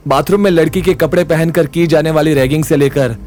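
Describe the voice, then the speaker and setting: A man's voice: a little high, steady tone, brisk, darkish tone, natural voice. An actor playing a brash, confident young man who takes charge in an audio drama, with a blunt, swaggering manner. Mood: reassuring. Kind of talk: Hindi audio drama acting.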